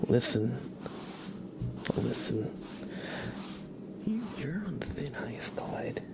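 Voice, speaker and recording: A man hosting a live ASMR stream, chatting casually with viewers in a soft, half-whispered, amused way, close to the microphone. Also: medium pitch, very animated, drawn-out words, creaky.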